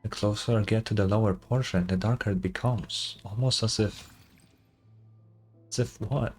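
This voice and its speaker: A man's voice: lowish voice, steady tone, normal speed, a little mellow, faint music behind. A man streaming a game, reading its dialogue aloud and adding casual commentary.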